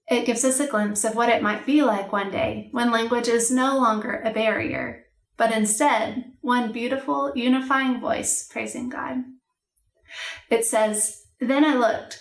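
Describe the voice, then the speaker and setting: Woman teaching a kids' church lesson, kinda high voice, gentle and encouraging, roomy echo. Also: vocal fry. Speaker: children's missions teacher. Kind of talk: scripted children's lesson. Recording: echoey room.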